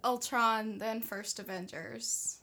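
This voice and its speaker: Slow-talking woman in casual podcast chat. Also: a little high, steady tone, sharp tone, a little hiss or hum. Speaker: podcast panelist. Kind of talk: casual film discussion.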